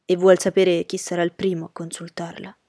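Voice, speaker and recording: A woman's voice: lowish voice, level tone, a little fast, mellow, no room sound. Woman as a male servant, deferential, hesitant, uneasy, audiobook character, dry recording.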